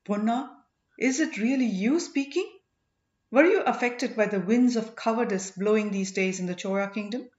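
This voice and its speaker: A woman's voice: fairly high pitch, moderate pace, a little mellow, roomy sound, dull audio, lightly acted. A woman voicing a proud, impulsive young exiled prince in a storybook, with a commanding manner. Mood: urgent.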